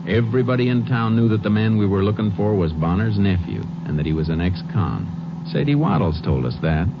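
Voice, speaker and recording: An actor playing a calm, shrewd Texas Ranger in a 1950s radio drama, speaking in a terse, authoritative, matter-of-fact way. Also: male voice, on the low side, a little fast, close to an everyday voice. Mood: reflective, deliberate. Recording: muffled, noisy old-time radio recording.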